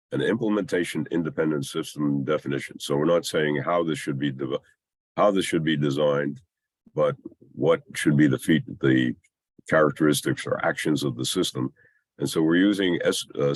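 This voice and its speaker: A man with a scratchy, under-the-weather voice gives an explanatory technical slide presentation in a halting, hesitant way with long pauses. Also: a bass voice, slightly rough, no echo. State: scratchy voice from a mild illness.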